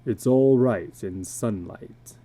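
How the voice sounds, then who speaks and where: Man voicing a deep, brooding, weary old carnival man. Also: slow, mellow. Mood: ominous. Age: older. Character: weary, bitter tattooed carnival man, older, haunted. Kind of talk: dramatic reading of a short story.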